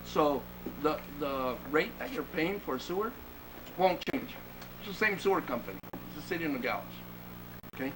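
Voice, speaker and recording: A man with a high voice acting as city manager, walking a resident through the details in a measured, explanatory tone. The council chamber recording carries a lot of background noise. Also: big pitch swings. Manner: measured and explanatory, with fillers and restarts. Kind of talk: answering questions at a council meeting. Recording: noisy meeting-room recording.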